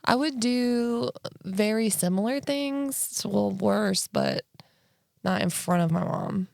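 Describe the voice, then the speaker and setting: A woman's voice: medium pitch, slow, creaky, a little mellow, no echo, studio quality. A woman co-hosting a podcast in a casual comedic chat, blunt and teasing with crude humor. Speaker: podcast co-host.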